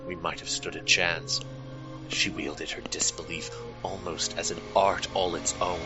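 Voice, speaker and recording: Deep, weary fae lord played by a man. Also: highs cut off, close to an everyday voice. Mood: grave, haunted. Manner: formal, measured, halting dramatic delivery. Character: weary, haunted fae storyteller-lord, mournful and gravely formal. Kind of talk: fantasy audio drama acting.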